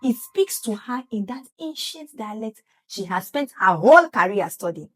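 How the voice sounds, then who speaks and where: A woman's voice: on the high side, big pitch swings, normal speed, faint music behind. A woman narrating a scripted story dramatically, emphatic and speaking straight to the listener.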